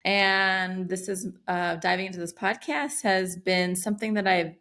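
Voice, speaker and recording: A woman's voice: mid-range voice, a bit bright. A middle-aged American woman co-hosting a podcast, speaking reflectively with frequent restarts. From United States. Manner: reflective, halting, many restarts. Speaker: podcast co-host. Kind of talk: casual podcast chat.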